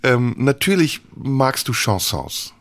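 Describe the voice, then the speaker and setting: A man's voice: medium pitch, very animated, slow, a bit bright, no echo. A man hosting a radio interview, chatty and thinking aloud, laughing now and then as he steers the talk. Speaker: radio host. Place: radio studio.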